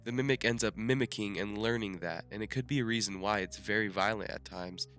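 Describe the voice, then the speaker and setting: A man's voice: on the low side, brisk, fairly bright tone, faint music behind. A young man with a nasal, stuffed-up voice after the flu, reading his scripted guesses about a game's story with small restarts. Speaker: gaming YouTuber. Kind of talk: scripted game theory commentary.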